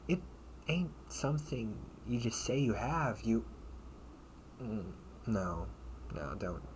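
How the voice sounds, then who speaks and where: A man playing a protective, sardonic older brother in an amateur audio roleplay, speaking in slangy, halting phrases with casual menace. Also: medium pitch, big pitch swings. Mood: stern, exasperated. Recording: noisy amateur home recording.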